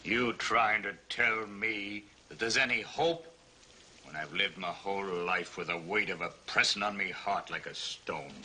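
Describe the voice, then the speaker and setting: An actor playing a weary, bitter father who speaks slowly and heavily, full of despair. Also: on the low side, bright, forceful tone, slight background noise. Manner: slow, heavy, despairing.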